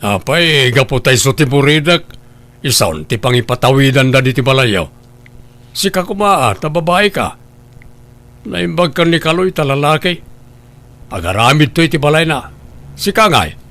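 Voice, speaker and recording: A man's voice: on the high side, lively intonation, normal speed, pauses often, a bit bright. A man voicing a scheming husband who coaxes his wife in an Ilocano audio drama. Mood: coaxing, scheming. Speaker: voice actor.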